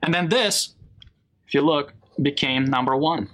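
A man's voice: a little high, measured pace. A man from Israel, a Hebrew speaker, teaching a Bible lesson and quizzing his audience. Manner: animated, asks the audience questions. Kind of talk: classroom lesson with a live audience.

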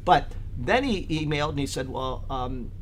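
A man's voice: medium pitch, animated, moderate pace, slight background noise. A man teaching a Bible study in a loose, conversational way, rambling with frequent fillers and restarts. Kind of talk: casual Bible study talk.

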